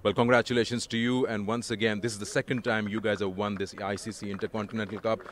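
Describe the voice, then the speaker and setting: A man's voice: lowish voice, little pitch movement, fast talker. A male presenter interviewing a winning captain and signing off the broadcast at an outdoor cricket ground. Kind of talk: post-match interview and sign-off.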